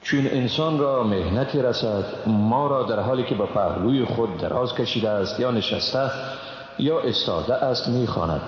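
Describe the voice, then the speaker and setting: Afghan Dari man reading scripture in heavy reverb. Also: mid-range voice. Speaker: translation reader. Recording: very echoey, reverberant, muffled, faint noise.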